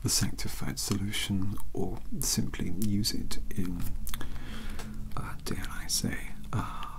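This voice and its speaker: A man's voice: lowish voice, steady tone, slow pace, vocal fry, poor audio. Man playing a whispering, bookish young British mentor. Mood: earnest, scholarly. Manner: hesitant, stammering, formal, bookish. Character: young bookish British male vampire-hunting mentor, polite and awkward. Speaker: ASMR roleplay performer. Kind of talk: soft-spoken whispered ASMR roleplay.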